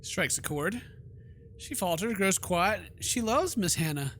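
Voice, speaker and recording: A man's voice: sits high, expressive, a little slow, a bit bright, slight background noise. Jokey man narrating a horror game. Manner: jokey asides, playful slang. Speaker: streamer playing a horror visual novel. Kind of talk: let's play narration with joking commentary.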